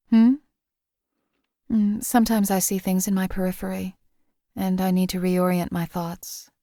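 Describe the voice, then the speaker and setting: A woman's voice: on the low side, a little mellow, dry recording, studio quality, close to an everyday voice. A woman voicing a cold, contemptuous hired assassin in a game, speaking in a formal, clipped, disdainful way. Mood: businesslike. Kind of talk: game character voice lines.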